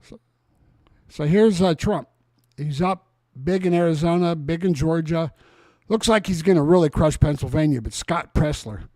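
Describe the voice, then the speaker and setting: A man's voice: on the high side, moderate pace, darkish tone, no room sound, clean studio sound. A man in his sixties hosting a casual political livestream chat. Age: 60s. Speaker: talk show host.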